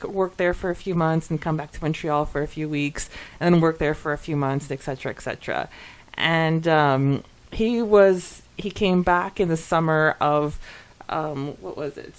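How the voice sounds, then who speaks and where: A woman co-hosting a podcast, chatting casually and reading out messages in an expressive, giggly way. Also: sits low, a little slow. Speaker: podcast co-host.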